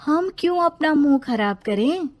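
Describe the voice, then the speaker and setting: A woman's voice: high voice, animated, normal speed, voice pushed up. A woman voicing a meek, patient young wife in a story, polite, sweet and conciliatory. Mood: calm, placating. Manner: soft-spoken, polite, conciliatory.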